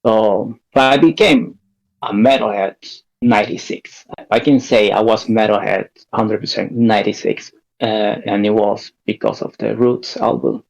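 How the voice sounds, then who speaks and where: A man's voice: on the low side, steady tone, normal speed, creaky, rough recording. A man in his thirties from Peru, chatting loosely as a podcast guest, rambling and swearing with easy laughs. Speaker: guest band member. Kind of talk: casual podcast chat.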